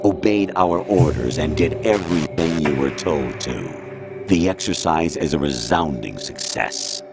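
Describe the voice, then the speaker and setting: A cold machine mind posing as a military colonel, his voice extremely deep and rumbling. It speaks in a calm, measured way that feels quietly menacing. Loud, tense game music plays under it. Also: exaggerated voice. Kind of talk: video game cutscene acting. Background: loud tense score.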